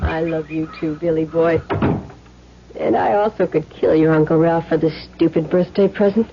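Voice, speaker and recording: A woman's voice: low voice, fairly even intonation, moderate pace. An actress playing a devoted, anxious housewife and mother in a 1970s radio drama. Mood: tender, troubled. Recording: dull lo-fi radio recording, faint noise.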